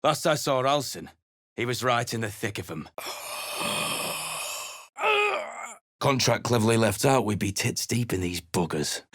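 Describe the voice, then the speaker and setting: An actor playing a surly, battle-worn mercenary adventurer in a video game, speaking in a gruff, blunt, dismissive way. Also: male voice, mid-range voice, huge pitch swings, extremely slow, pauses often, a bit bright, no echo, clean studio sound, full character voice.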